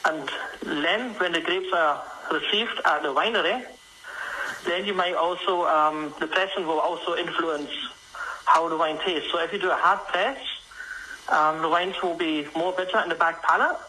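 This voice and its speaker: A man's voice: a little high, moderate pace, sharp tone, poor audio. A man from Germany with a Scottish family, explaining casually as a guest expert calling in to a radio show. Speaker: radio guest, freelance wine consultant and sommelier. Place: remote call to a radio show. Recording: phone-in call with faint noise.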